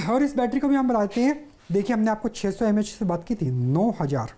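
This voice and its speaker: Mellow woman presenting news demonstrations. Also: mid-range voice, animated, moderate pace. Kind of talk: live demonstration reporting.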